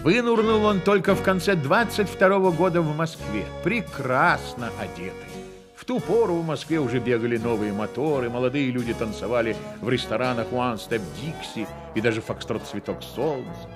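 An actor narrating a satirical radio play as a wry, theatrical storyteller, with weighty, drawn-out phrases. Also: male voice, mid-range voice, over music, natural voice. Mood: wry, dramatic.

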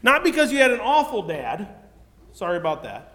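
A high-voiced man gives a sermon in an earnest, conversational way, often stopping to restart his sentences. His voice rings out with a long reverb behind it. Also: huge pitch swings, moderate pace, a bit bright. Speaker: preacher.

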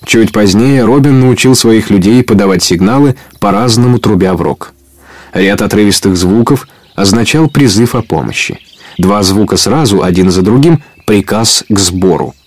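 A man's voice: lowish voice, animated, moderate pace, no room sound. A man narrating an outlaw legend as the storyteller of a radio play, in scripted storybook style. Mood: measured. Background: guitar music.

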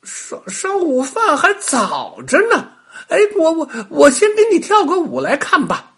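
A shrill, sly little rabbit. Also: male voice, a little slow, exaggerated voice. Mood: eager. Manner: shrill, excited calling.

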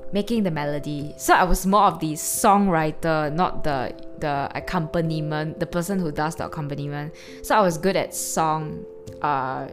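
A woman in her early twenties with a low voice, hosting a rambling, casual personal monologue full of fillers and restarts. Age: early 20s. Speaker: podcast host. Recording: background music clearly audible.

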